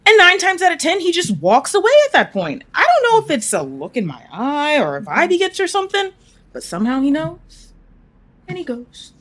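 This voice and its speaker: A woman acting a brash, animated, high-voiced woman. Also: moderate pace, full character voice. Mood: frank, animated. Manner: blunt, wry, informal. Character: brash, generous woman who can turn threats to ash. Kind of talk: audio drama acting.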